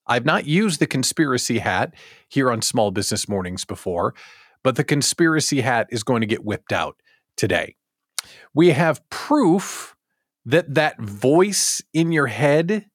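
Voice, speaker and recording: A man's voice: medium pitch, dry recording, clean studio sound. A man hosting a daily live online show in an upbeat, chatty style, talking straight to listeners with theatrical announcer-like emphasis and rhetorical questions. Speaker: host of a daily live small business show.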